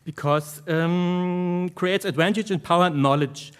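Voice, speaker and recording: Higher-pitched German-accented man presenting. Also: animated, a little slow. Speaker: academic researcher, conference speaker. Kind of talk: academic conference presentation.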